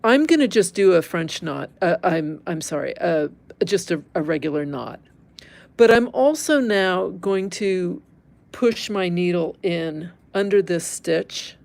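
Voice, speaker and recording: Woman, American accent, slow pace, slight creak, informal craft tutorial, candid, thinking aloud. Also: fairly low pitch, lively intonation, darkish tone. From Northern California, United States. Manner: candid, conversational, thinking aloud while working with her hands. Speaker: embroidery teacher, livestream host. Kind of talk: informal live craft demonstration, chatting with viewers.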